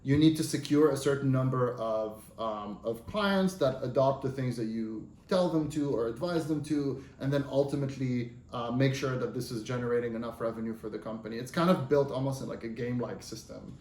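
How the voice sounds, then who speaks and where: A man in his thirties from Lebanon, a native Arabic speaker, talks through his career as an interview guest. His voice sits at an ordinary middle pitch for a man. He speaks loosely and conversationally, with frequent fillers and restarts, and the room adds a noticeable echo. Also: a little fast, few pauses, a little mellow. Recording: roomy echo.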